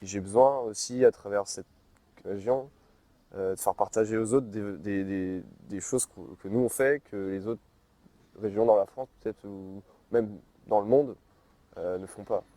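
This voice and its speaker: A man's voice: lowish voice, unhurried, dark tone, no room sound. A man being interviewed, speaking hesitantly and stumbling over his words.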